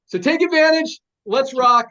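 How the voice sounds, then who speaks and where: A man's voice: very high voice, normal speed, bright, forceful tone, muffled recording. A man hosting a motivational team video call, rallying the group and introducing speakers with upbeat energy. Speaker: host.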